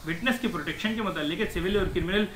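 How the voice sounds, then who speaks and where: A man's voice: high voice, rapid-fire, fairly bright tone. A man explains law like a teacher, repeating points and dropping in English legal terms. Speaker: advocate giving law lessons. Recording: echoey room with faint noise.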